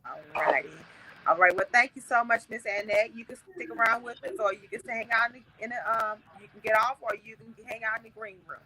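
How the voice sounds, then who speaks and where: A woman's voice: a little high, fast, husky, poor audio. Chatty woman hosting on her phone. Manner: warm, folksy, many fillers and restarts. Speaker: host. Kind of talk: casual livestream chat.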